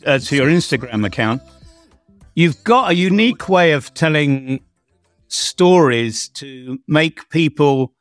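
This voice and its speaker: A man's voice: mid-range voice, a bit bright, no room sound, clean studio sound. A man from England hosting a podcast interview, asking long rambling questions and repeating words as he frames them. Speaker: podcast host. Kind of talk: conversational interview.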